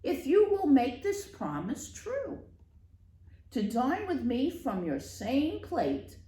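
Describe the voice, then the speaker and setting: A woman's voice: high-pitched, huge pitch swings, slow, mellow, pitched above the performer's own voice, heavily acted. Woman reading as earnest storybook frog, echoey room. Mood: earnest bargaining. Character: loud, earnest bargaining male frog prince. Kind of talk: children's storybook read-aloud.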